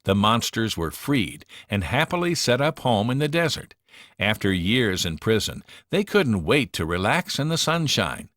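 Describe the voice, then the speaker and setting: A man narrating a children's storybook in an expressive, dramatic storytelling manner. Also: on the low side, unhurried, dry recording, clean studio sound.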